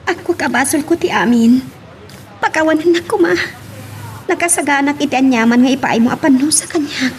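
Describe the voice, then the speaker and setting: A woman's voice: high-pitched, a little fast, a little hiss or hum, natural voice. An actress playing an earnest, emotional young woman caught up in romance in an Ilocano radio love drama. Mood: pleading. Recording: music behind the voice.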